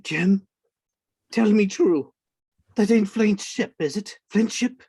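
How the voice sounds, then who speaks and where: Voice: medium pitch, slow, mellow, slightly rough, no echo. An actor playing a marooned, half-mad old pirate castaway in a radio play, rambling and repeating words in rustic sailor speech. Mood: fearful. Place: indoors, remote online reading. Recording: dry video-call recording.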